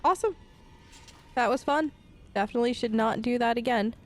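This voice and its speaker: A woman hosting a role-play let's play, chatty and expressive in her gameplay commentary. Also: fairly high pitch, fast talker.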